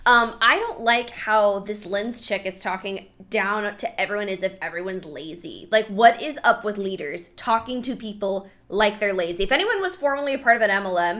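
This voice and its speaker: A young woman reacting to a clip in a commentary video, blunt and sarcastic and quick to swear. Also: medium pitch, fairly bright tone. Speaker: commentary YouTuber.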